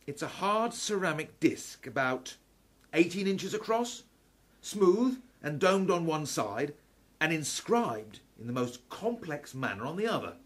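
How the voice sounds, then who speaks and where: A man's voice: a little high, very animated, a little slow. A man playing a learned, wry Victorian professor in a steampunk radio play, articulate and dramatically lecturing. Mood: urgent warning.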